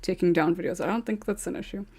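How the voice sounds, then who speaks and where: A woman's voice: fairly low pitch, fast, dark tone, breathy edge. A woman hosting a casual group podcast, moderating questions in a giggly, apologetic and flustered way. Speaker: podcast host.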